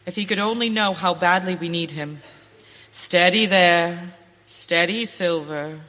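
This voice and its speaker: A woman's voice: lowish voice, measured pace, a bit bright. A woman playing a brave, determined masked western hero in radio theater, speaking in an earnest, commanding way. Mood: awed, gentle. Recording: hall reverb, background noise, clicks.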